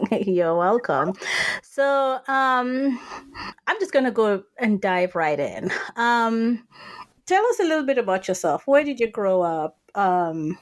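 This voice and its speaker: A woman's voice: fairly high pitch, very animated, takes it slowly. A woman hosting a casual talk show, introducing the guest and asking questions with frequent fillers. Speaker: host.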